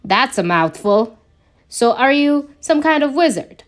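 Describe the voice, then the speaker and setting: A woman's voice: high-pitched, expressive, measured pace. A woman voicing a cocky, sarcastic male genius engineer in a fan fiction reading. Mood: mocking curiosity. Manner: sarcastic, quippy.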